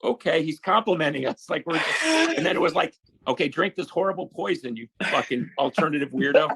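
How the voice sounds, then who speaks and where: Husky man telling a sweary anecdote. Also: a little high, expressive, brisk, a bit bright. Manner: emphatic, sweary, acts out quoted dialogue.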